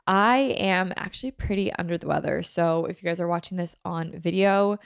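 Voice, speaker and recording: A young woman chatting casually on her solo podcast, nasal and stuffy from a head cold. Her lowish voice has a gravelly fry, and the sound is close and dry with no room around it. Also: moderate pace, no echo. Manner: chatty, many fillers. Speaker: podcast host.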